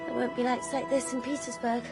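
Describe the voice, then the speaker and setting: A woman's voice: fairly high pitch, little pitch movement, a little fast, vocal fry, over music. An actress playing an impulsive, dreamy young Russian countess, girlish and rapturous, in a radio drama.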